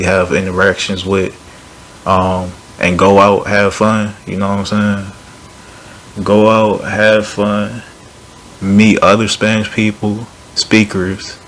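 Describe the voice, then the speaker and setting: Deep, flat-voiced young man vlogging. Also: unhurried, a little hiss or hum. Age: young adult. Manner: relaxed, rambling, with fillers and restarts. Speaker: language learning YouTuber. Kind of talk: casual vlog talk to camera.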